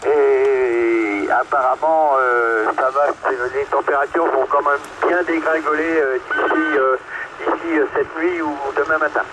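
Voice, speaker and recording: A man's voice: mid-range voice, steady tone, measured pace. A man with a French accent chatting courteously and casually over CB radio, often repeating his phrases. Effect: CB radio transmission. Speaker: amateur CB radio operator. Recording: noisy CB radio reception.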